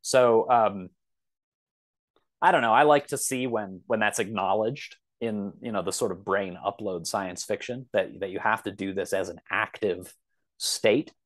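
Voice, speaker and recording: A man in his thirties chats as a guest on a podcast. His voice sits on the low side, recorded close and dry. He talks casually, feeling his way through his thoughts in halting phrases. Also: no echo.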